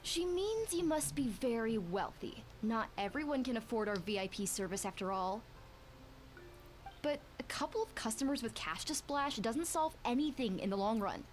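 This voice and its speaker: Woman doing sardonic lazy anime game lady, kinda high, dry and snide, soft music behind. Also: lively intonation, normal speed, close to an everyday voice. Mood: critical. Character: lazy, sardonic young lady dream-eater spirit.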